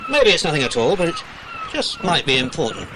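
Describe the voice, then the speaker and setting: An actor with a British accent playing a kindly, watchful middle-aged man guarding children in an audio drama, measured and avuncular. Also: fairly high pitch, huge pitch swings. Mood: serious. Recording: noisy outdoor sound effects behind.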